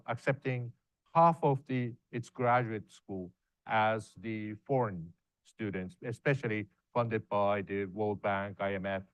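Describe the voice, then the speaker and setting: A man's voice: lowish voice, clear, resonant tone, no echo, rough recording. Hesitant man on a panel. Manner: hesitant, many fillers, halting phrasing. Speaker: panelist, university professor. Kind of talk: answering audience questions on a panel.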